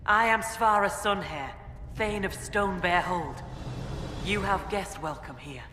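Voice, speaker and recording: A stately chieftain, echoing hall. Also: female voice, fairly low pitch, slow pace, music in the background. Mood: stately, welcoming. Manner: stately, formal pronouncements. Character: dignified female chieftain of a hill tribe, welcoming. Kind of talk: fantasy video game acting. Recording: echoey hall with background noise.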